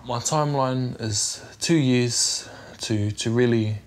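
A man's voice: medium pitch, slow. A young man with a New Zealand accent speaking casually and earnestly, with some hesitancy, as an aspiring rugby player in an interview. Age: young adult. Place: small bedroom. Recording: faint background noise.

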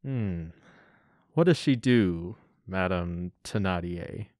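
A man playing a gentle, courteous stranger in a radio drama, speaking in a measured way. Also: low-pitched, no echo. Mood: kindly. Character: gentle, kindly male stranger, a traveler.

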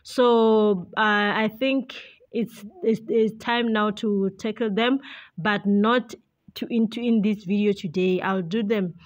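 Slow-talking woman in a casual vlog. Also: mid-range voice, steady tone, dull audio. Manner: hesitant, chatty, addressing viewers as guys. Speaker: vlogger, homemaker.

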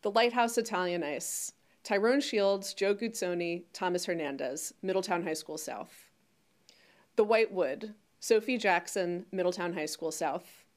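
A woman's voice: lowish voice, measured pace. A woman in her thirties with an American accent reading out awards as a scripted presenter. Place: indoors before a curtain.

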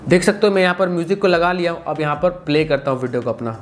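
A man's voice: on the high side, expressive, rapid-fire, slight background noise. A man giving a casual, instructional phone-app tutorial, often repeating stock phrases. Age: 20s. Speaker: tutorial presenter. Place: small indoor room.

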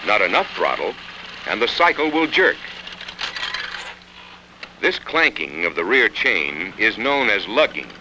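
An American man reading scripted instructional narration for a training film in a measured, instructive manner. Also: fairly low pitch, very animated. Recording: 1960s film soundtrack, faint noise.

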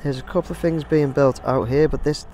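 A man's voice: a little high, fairly even intonation, a little fast, warm tone, slight background noise. A man with an English accent casually narrating his drone footage outdoors, rambling and chatty. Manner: rambling, chatty, addresses viewers as guys. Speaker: drone videographer. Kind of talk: casual vlog commentary. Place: outdoors near a construction site.

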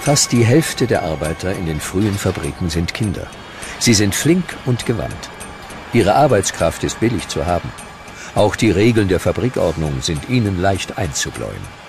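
A man narrating a scripted documentary with dramatic, deliberate storytelling. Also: sits low, big pitch swings, over music.

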